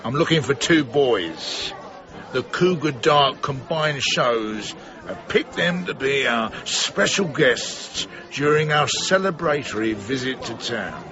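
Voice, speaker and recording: An actor playing a sinister, formally polite carnival master in a radio drama, speaking with deliberate menace. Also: male voice, medium pitch, lively intonation, crowd noise. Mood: smooth menace. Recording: muffled radio broadcast with music behind.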